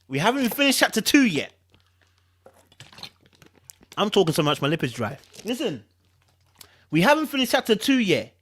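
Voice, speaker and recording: A woman's voice: medium pitch, fairly bright tone. A woman reading a story aloud in a theatrical, suspenseful and informal storytelling style. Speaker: host reading a novel aloud to followers. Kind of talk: dramatic storytelling read-aloud with chatty asides and vocal sound effects.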